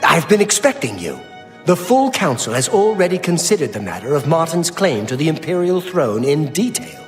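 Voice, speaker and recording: A man's voice: a little high, sing-song, moderate pace, soft background music. A man playing a stately, commanding imperial chancellor in a video game, with formal, declamatory delivery. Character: stately, commanding male high chancellor of an empire. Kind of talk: video game dramatic dialogue. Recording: long hall-like reverb.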